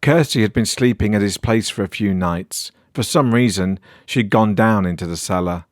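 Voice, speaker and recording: A man's voice: fairly low pitch, moderate pace, dry recording, clean studio sound. A man with a British accent reading a weird horror story as scripted narration.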